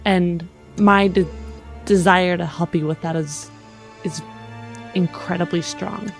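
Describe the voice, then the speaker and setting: A woman playing a persuasive adventurer in a tabletop game, speaking in a measured, sincere way. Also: lowish voice, steady tone, vocal fry, soft background music. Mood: earnest, reassuring. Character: persuasive, careful woman adventurer making earnest promises.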